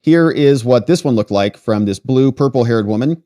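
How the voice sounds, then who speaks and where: A man's voice: mid-range voice, lively intonation, moderate pace, dark tone. An American man hosting political commentary, reading articles aloud and breaking in with sarcastic, mocking asides.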